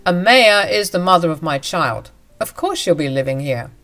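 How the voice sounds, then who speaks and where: A loud, scornful husband who gives orders and will not be questioned, read by a woman narrator. The voice sits a little low and stays forceful and demanding. It belongs to a spoken drama story. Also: expressive, normal speed. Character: angry domineering husband, entitled and scornful.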